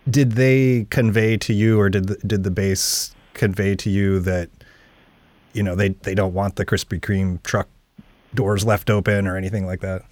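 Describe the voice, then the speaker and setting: A man's voice: lowish voice, level tone, normal speed, a little mellow, no room sound. A man asking questions as a reporter in a casual, tentative and loosely phrased conversational way. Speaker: interviewer, reporter.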